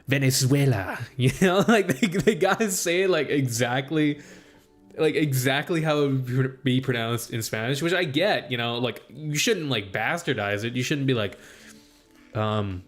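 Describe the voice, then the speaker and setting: A young man rambles on mic, trailing off and restarting, with one "like" after another. He sounds like he is working his ideas out as he goes. Faint music plays under him. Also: medium pitch. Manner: meandering, full of "like" and restarts, thinks aloud. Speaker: student streamer. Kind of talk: casual rambling monologue.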